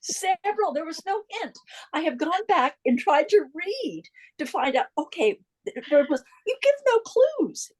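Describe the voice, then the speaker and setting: A woman's voice: very high-pitched, sing-song, measured pace. A woman reader on a video call gushing enthusiastically to the author about the books. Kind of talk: casual video call chat.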